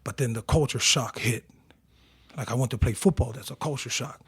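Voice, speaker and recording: Interview guest: husky man in his forties from Tonga, animated storyteller, dry close mic. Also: mid-range voice, a little fast, no echo. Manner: animated storyteller, acts out quotes.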